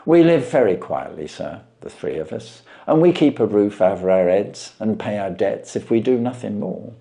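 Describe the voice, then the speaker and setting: A man's voice: lowish voice, expressive, normal speed, creaky, dark tone. A man voicing an earnest, long-winded, stay-at-home London widower who keeps a shop, telling his tale respectfully in a storybook reading. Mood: earnest, plaintive.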